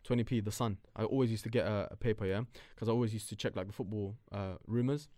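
A man, telling stories fast and loose in casual chat, full of slang and swearing. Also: lowish voice, steady tone, mellow, dry recording, clean studio sound. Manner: rapid, slangy storytelling, swears freely.

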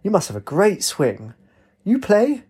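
Storybook heroine, voiced by a man: spirited, kind, determined, direct, husky with lifted pitch. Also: wildly swooping pitch, measured pace, darkish tone, full character voice. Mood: curious. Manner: eager, direct. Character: spirited, determined, kind-hearted female adventurer.

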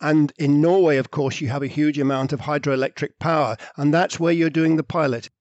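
A man interviewing a guest, asking brisk, conversational questions. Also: fairly high pitch, fairly even intonation, darkish tone, dry recording, muffled recording.

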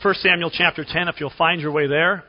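A pastor preaching a sermon to his congregation while losing his voice, often clearing his throat. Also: on the high side, fairly even intonation, brisk, soft background music. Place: church sanctuary. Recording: dull recording in a reverberant church.

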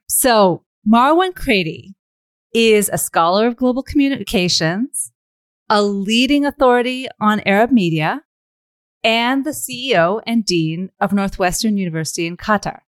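A woman hosting a podcast, reading a polished introduction and then asking interview questions in a warm, enthusiastic way with slow, deliberate phrasing. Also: mid-range voice, smooth, dry recording. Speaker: podcast host. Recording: dry voice with faint music behind.

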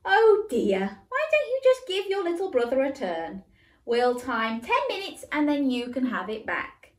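A woman's voice: sits high, big pitch swings, measured pace, a little mellow, voice pushed up. A woman voicing a cheerful mother who settles her sons' quarrel in a coaxing, motherly way, in an echoey room.

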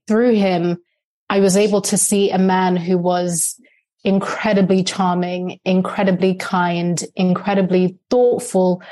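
A woman in her thirties, a Shona speaker, speaks as a podcast guest. Her voice sits a little low and has a soft, mellow, unbright tone. She tells her story slowly and earnestly, in a reflective way. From Zimbabwe.

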